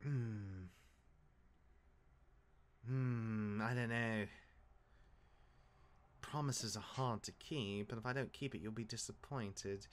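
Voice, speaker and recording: A man's voice: on the low side, slow pace, long pauses. A man playing a cocky, easygoing god who mentors his younger sibling in a fantasy audio drama, casual, rambling and teasing. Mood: teasing, reluctant. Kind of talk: fantasy audio roleplay acting.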